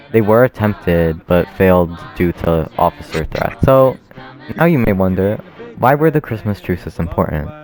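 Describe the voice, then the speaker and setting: Deep-voiced young man narrating. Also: a little mellow. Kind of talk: scripted explanatory narration.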